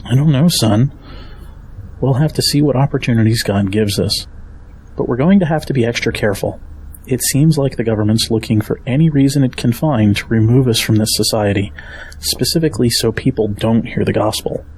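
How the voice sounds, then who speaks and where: An actor playing a steady, protective middle-aged father in an audio drama. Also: mid-range voice, a little fast, a little hiss or hum, natural voice, low-quality recording. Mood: cautious.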